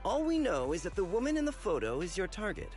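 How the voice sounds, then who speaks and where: An actor playing a smooth-talking male intelligence broker in a video game, persuasive and explanatory like a salesman. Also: sits high, wildly swooping pitch, fast talker, darkish tone, faint music behind, exaggerated voice. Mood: businesslike.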